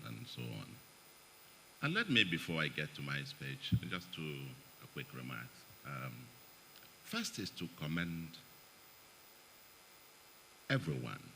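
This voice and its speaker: A man's voice: very deep voice, bright, forceful tone, a little hiss or hum, poor audio. A middle-aged man with a Nigerian accent, speaking to an audience at a forum in a chatty way with joking asides. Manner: chatty, joking asides, chuckles between remarks. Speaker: guest speaker at an economic forum. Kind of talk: public speech, partly read. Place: event hall, in front of an audience.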